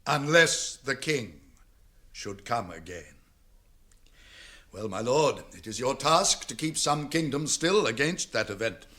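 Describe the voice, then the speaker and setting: An actor playing a wise, stern old wizard in British fantasy radio drama, with measured, weighty, archaic speech. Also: male voice, medium pitch, expressive, pushed and bright, exaggerated voice. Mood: grave, resolute.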